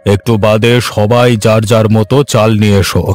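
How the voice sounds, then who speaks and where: A man's voice: fairly low pitch, flat delivery, normal speed, darkish tone, faint music behind, natural voice. A man playing a haughty, middle-aged village headman in a children's cartoon, speaking in a bossy way. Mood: humbled. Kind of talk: Bengali children's cartoon voice acting.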